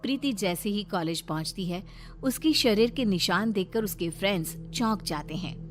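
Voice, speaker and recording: A woman's voice: mid-range voice, animated, normal speed. A woman reading scripted horror story narration as the narrator. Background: background music.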